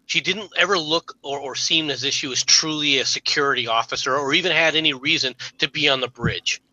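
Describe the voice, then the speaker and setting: A man's voice: on the high side, fairly quick, pushed and bright, poor audio. A man co-hosting a casual stream, critical and laying out his opinions at length. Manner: critical, lays out arguments at length. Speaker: stream co-host.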